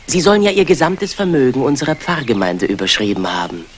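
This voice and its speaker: A man plays a courteous curate with an average-pitched male voice. He speaks with deliberate, unctuous politeness, insinuating himself as in an old radio thriller. The vintage recording carries faint noise. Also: big pitch swings, lightly acted. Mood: polite, confiding. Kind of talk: 1960s German radio drama acting.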